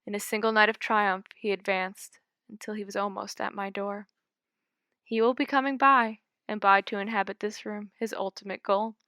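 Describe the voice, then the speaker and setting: A woman reading a scary short story aloud in a measured, dramatic way. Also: mid-range voice, steady tone, pushed and bright, dry recording. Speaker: audiobook reader. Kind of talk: scripted story narration.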